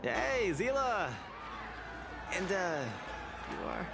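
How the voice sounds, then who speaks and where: Brash young fighting champ, high voice, lively swings, playful, music behind. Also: male voice, extremely slow, creaky, crowd noise, heavily acted. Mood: friendly. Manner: boastful, playful, eager. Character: cocky cheerful young male fight champion. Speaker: cage fighter. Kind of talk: video game voice acting. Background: game music.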